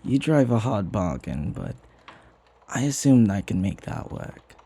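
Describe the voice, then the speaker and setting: A man playing a flirty, devoted butler in a romantic ASMR roleplay, speaking softly and tenderly with teasing and hesitant pauses. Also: fairly low pitch, very animated, breathy edge, heavily acted. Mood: coaxing, fond. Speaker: voice actor.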